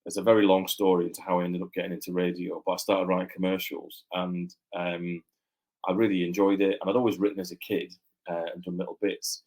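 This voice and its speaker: A man with a low voice and a mixed northern English accent talks as a guest author. He rambles easily, doubling back on his words with plenty of fillers. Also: flat delivery, quick pace, darkish tone, low-quality recording. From Yorkshire, England. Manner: rambling, with many fillers and restarts. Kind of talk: casual interview chat.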